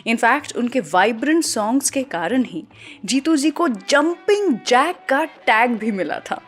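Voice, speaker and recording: An Indian woman with a fairly high voice hosts a radio music show. She presents from a script in an anecdotal way, slipping English words into her sentences. Faint music plays behind her. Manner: mixes English words into Hindi.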